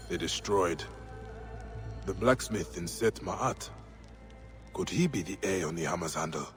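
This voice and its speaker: A man acting a solemn, terse Egyptian avenger in a video game cutscene. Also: low voice. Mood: grave, investigating. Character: brooding ancient Egyptian male protector and avenger.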